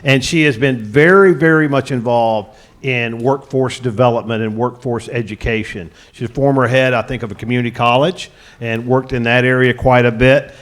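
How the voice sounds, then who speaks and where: A middle-aged man introduces the panelists one by one at a public event. He speaks in a friendly, easygoing way and slips in light jokes for the audience, at a middle pitch. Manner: friendly, joking asides to the audience. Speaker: panel moderator. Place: conference room before an audience.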